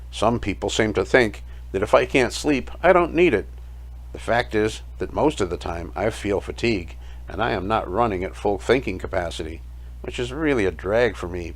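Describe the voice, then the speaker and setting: A man narrating a first-person dramatic story in a reflective, measured way, reading from a script. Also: fairly low pitch. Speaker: storyteller narrating his own audio play. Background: music.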